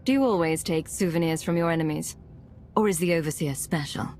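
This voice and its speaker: A woman's voice: deep voice, brisk, a little mellow, a little hiss or hum, close to an everyday voice. A woman playing a controlled, authoritative imperial secret agent in video game dialogue. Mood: cool, inquiring. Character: cool, commanding female imperial secret agent.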